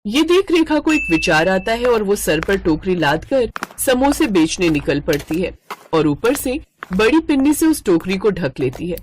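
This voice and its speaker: A woman's voice: mid-range voice, big pitch swings, normal speed, low-quality recording. A woman reading scripted narration for a moral story as its narrator. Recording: heavy noise behind the voice.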